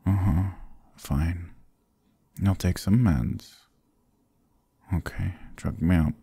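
Low husky male voice, actor playing sick stubborn boyfriend, ASMR roleplay, slow, tender, sleepy murmur, close dry mic. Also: no echo, exaggerated voice. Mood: teasing, sleepy. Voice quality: husky, gravelly, breathy. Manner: soft, drowsy, murmuring to a partner. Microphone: close, dry microphone.

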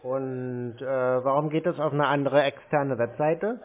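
A man with a mid-pitched voice talks over a narrow, tinny phone line. He sounds like a customer in a casual call, keeping his answers short and laconic and putting questions plainly. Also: a little slow. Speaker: caller posing as a customer.

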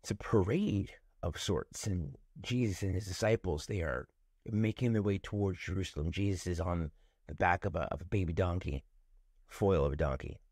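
A man in his forties, a pastor, speaking gently and reflectively into a webcam at home, leading into a prayer. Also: deep voice, dry recording, studio quality. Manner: gentle, unhurried, warmly reflective. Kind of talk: prayerful devotional talk. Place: home room with ceiling fan. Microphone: webcam close up, looking up from below.

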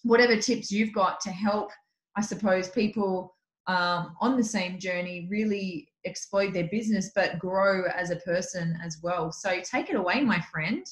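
A woman's voice: mid-range voice, measured pace. A woman hosting a video call, introducing a guest with effusive praise and lots of fillers. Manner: warm, gushing praise with many fillers. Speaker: host. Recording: echoey room over a video call.

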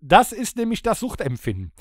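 Man, podcast co-host, slightly high pitch, expressive range, joking small talk. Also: a little fast, no room sound, studio quality. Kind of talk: casual chat, joking banter.

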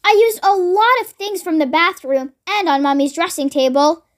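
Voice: extremely high pitch, moderate pace. A child voicing an earnest, polite young farm boy in a storybook, questioning and pleading.

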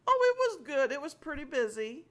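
An older woman chatting casually on a call from a small home office, friendly and quick to laugh. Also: high voice, sing-song, a bit bright. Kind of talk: casual phone chat.

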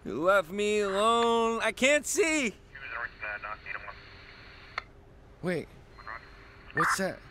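An actor playing a troubled young male airman, narrating his story gravely in first person in a radio drama. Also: high voice, sing-song, slow pace, noisy background, heavily acted. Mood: panicked. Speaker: narrator and main character.

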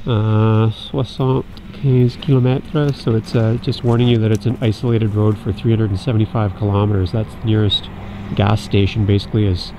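A middle-aged Canadian man narrating a casual travel vlog outdoors, holding the camera at arm's length and chatting in an easygoing way. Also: on the low side. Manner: easygoing, chatty, laughs easily. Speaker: vlogger. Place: outdoors, on a lake and by a roadside. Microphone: handheld camera at arm's length. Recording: outdoor camera audio with clear background noise.

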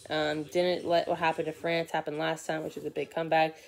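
A man's voice: on the high side, level tone, fairly quick, vocal fry. A teenage boy giving casual live commentary on a basketball game from his couch, rambling with many fillers and restarts. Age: teens. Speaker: sports vlogger. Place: living room couch, indoors. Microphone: phone or laptop camera from below.

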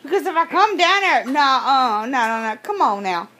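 A woman's voice: sits high, sing-song, normal speed, sharp tone. A woman making a prank phone call in a brash, confrontational way, swearing and cutting in. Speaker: prank caller. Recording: noisy home-made recording.

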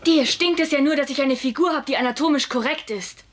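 An actress playing a vain, touchy teenage daughter in a dubbed sitcom, with a petulant manner. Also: female voice, high-pitched, a little fast, a little hiss or hum, close to an everyday voice. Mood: snippy. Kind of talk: German sitcom dubbing.

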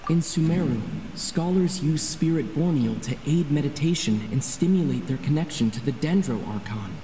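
Female gamer reading on-screen dialogue, mellow and relaxed tone, faint music underneath. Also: low-pitched, normal speed, rough recording. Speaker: game player reading dialogue choices aloud. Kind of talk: reading on-screen game text aloud.

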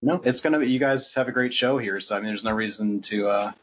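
A man co-hosting a podcast in casual chat, speaking in a halting, self-effacing way. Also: lowish voice, slight background noise. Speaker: podcast co-host. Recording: dull lo-fi sound.

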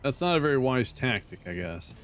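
An older man streaming a strategy game from his home, talking to himself in quiet, low-key asides. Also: very animated, brisk, noisy background. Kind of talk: casual let's play commentary. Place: home room, seated in a dark chair.

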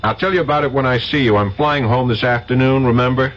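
An actor in a 1970s radio drama playing a steady detective hero with a wry, businesslike manner. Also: male voice, medium pitch, fast, close to an everyday voice. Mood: urgent, businesslike. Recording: dull, noisy 1970s radio recording.

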